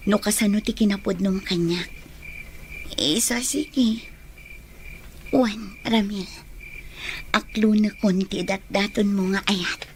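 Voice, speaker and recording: A gentle young sweetheart in a radio drama. Her voice sits in the middle range and sounds sincere, over faint background noise. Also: medium pitch. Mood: tender. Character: sincere, gentle young woman accepting a suitor. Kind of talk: Ilocano radio drama acting.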